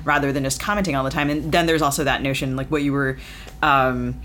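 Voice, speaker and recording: A woman's voice: very deep voice, steady tone, fast, fairly bright tone, slight background noise. A woman hosting a podcast, speaking thoughtfully with many fillers in casual conversation. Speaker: podcast host.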